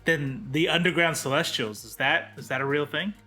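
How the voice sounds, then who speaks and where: A man's voice: fairly high pitch, fairly even intonation, normal speed, sharp tone, faint music behind. A man chatting casually as a podcast host. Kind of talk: casual chat.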